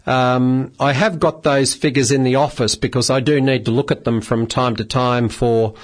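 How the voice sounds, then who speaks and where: A middle-aged Australian man hosting a radio phone-in legal advice show, measured and polite, with many filler sounds. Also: medium pitch, fairly even intonation. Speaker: radio phone-in host, solicitor. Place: radio studio.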